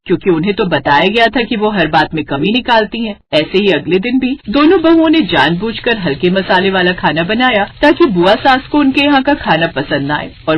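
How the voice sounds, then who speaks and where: Woman narrator, kids' cartoon storybook, bouncy animated pitch. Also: highs cut off, a little hiss or hum. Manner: lively, switches into character voices. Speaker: narrator voicing all characters.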